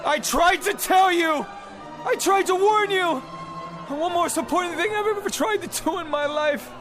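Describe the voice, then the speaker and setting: An actor in a radio play voicing an agitated, desperate time traveler, urgent and pleading, faltering when dazed. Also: male voice, extremely high pitch, moderate pace. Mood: anguished. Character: desperate, agitated male time traveler trying to avert an assassination. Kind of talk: dramatic radio play acting. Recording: music bed behind the voice.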